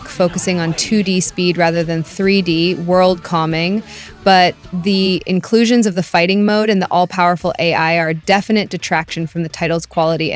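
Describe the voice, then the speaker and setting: A woman with a fairly low voice narrates a scripted game review over music. Her delivery is dry and critical, with a wry edge.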